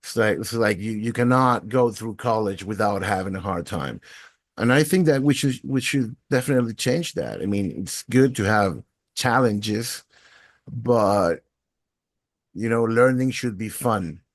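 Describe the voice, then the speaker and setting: A man's voice: lowish voice, a little slow, no room sound, clean studio sound. A man in his forties from Venezuela, speaking English, telling his story as a podcast guest in a thoughtful, fluent way. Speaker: podcast guest, education technologist. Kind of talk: interview answers.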